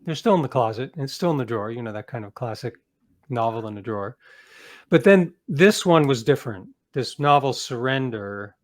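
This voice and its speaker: A man's voice: medium pitch, expressive, fairly quick. Middle-aged man telling his story in conversation. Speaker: guest, author and podcast producer. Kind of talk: interview storytelling, reflective monologue.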